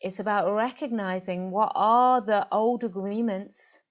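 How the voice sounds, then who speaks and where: A woman's voice: mid-range voice, fairly even intonation, a little slow, highs cut off. A woman interview guest who is an interfaith minister, explaining in an earnest, emphatic way. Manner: earnest, emphatic, repeats for stress.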